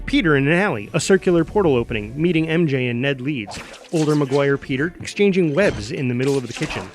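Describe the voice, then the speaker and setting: A man with a fairly high voice reads action-script stage directions. His delivery is clipped, punchy and dramatic, over light background music. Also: lively intonation, quick pace. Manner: punchy, clipped delivery with exclaimed sound words. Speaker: stage-direction narrator at a table read. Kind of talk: dramatic scripted narration in present tense.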